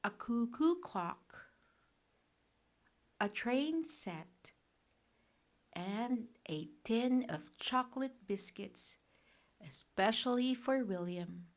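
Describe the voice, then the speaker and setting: A woman reading a children's bedtime picture book aloud in a gentle, expressive, deliberate manner. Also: fairly high pitch. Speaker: storyteller.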